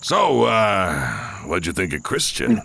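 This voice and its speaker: An actor playing a gruff but friendly school sports coach in an audio drama, with a rough, gravelly voice and a casual, familiar manner. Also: male voice, on the low side, big pitch swings, slow pace, pushed and bright, slight background noise, exaggerated voice. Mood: casual, probing.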